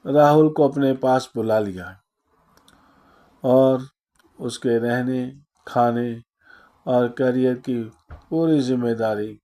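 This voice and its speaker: Very slow, mellow male storyteller. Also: mid-range voice. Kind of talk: scripted moral story narration.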